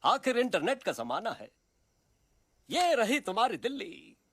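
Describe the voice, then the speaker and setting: A man's voice: high voice, sing-song, a little slow, a bit bright, no room sound, clean studio sound, heavily acted. A man voicing a chirpy cartoon bat, a self-styled tour guide with rhyming, salesman-like patter. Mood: showy, confident. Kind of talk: animated film character acting.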